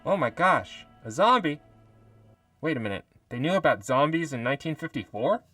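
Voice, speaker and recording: A man voicing an excitable robot who listens to horror tales in amazement, with music behind. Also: fairly high pitch, normal speed, no room sound, voice pushed up. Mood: astonished.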